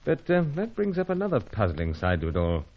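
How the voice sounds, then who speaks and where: Smooth british spy hero in an old radio serial, lowish lively voice, urbane and clipped, muffled old recording. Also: male voice, brisk. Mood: earnest. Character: suave British male secret agent, flirtatious and duty-minded. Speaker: hero and narrator. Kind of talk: old-time radio adventure serial acting. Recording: dull vintage radio recording.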